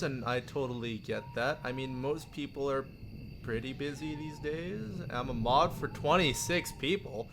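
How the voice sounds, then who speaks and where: A man's voice: medium pitch, sharp tone, slight background noise. A man live streaming with casual, rambling chat, often chuckling as he replies to viewers. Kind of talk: casual live stream chat with chat replies, some read-aloud story narration.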